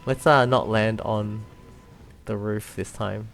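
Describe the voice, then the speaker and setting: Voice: medium pitch, fairly even intonation, slow, gravelly, soft background music. A gamer giving casual commentary while playing, talking to themselves and viewers with frequent exclamations. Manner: talks to self and viewers, exclaims, giggles often. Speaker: let's play video host.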